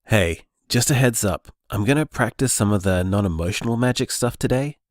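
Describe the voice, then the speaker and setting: A man's voice: on the low side, brisk, no room sound, studio quality, close to an everyday voice. An actor playing an earnest young man discovering luck magic, talking in a thoughtful, musing way. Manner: thoughtful, musing, lively delivery. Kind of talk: audio drama, voice-message monologue.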